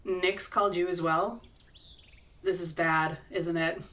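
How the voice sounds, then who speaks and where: An actress playing a blunt, sardonic fighter who is impatient to get to the violence. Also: female voice, fairly low pitch, fairly even intonation, takes it slowly, a bit bright, recorded from a distance. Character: blunt, fight-hungry woman fighter with guns and magic. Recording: a little off-mic.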